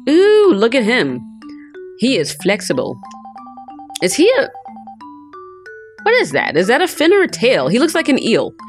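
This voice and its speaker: A woman's voice: a little high, wildly swooping pitch, unhurried, pauses often, faint music behind. Woman doing a cute bubbly mermaid girl from a game, chirpy, swoops all over. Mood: excited. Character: cute, bubbly, dreamy young female mermaid dancer.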